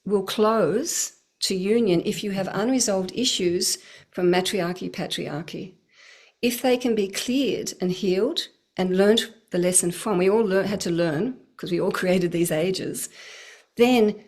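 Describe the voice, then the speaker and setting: A woman's voice: mid-range voice, a little slow, darkish tone. A middle-aged woman speaking earnestly about spiritual healing work, with a flowing manner and frequent laughs. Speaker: spiritual teacher, guest. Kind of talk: casual spiritual talk.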